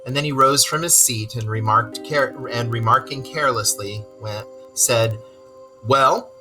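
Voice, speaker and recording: A man reading a storybook aloud as narrator, in an expressive storytelling style. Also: medium pitch, moderate pace, music in the background.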